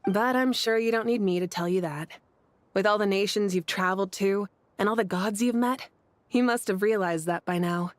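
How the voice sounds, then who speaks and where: A woman's voice: mid-range voice, normal speed, no room sound, close to an everyday voice. A woman voicing a confident, easygoing female leader god in a video game, with a breezy, teasing manner. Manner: breezy, self-assured, teasing. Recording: game music behind.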